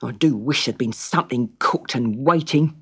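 A woman's voice: a bass voice, moderate pace, slight background noise. A woman voicing Cedric, a sour, grumpy husband who growls and complains. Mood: grumbling.

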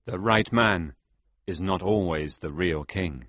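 A man's voice: deep voice, slow pace, fairly bright tone, no echo. A man voicing a loyal, polite young nobleman in a storybook reading, plain and a little awkward. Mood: earnest. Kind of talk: audiobook character voice.

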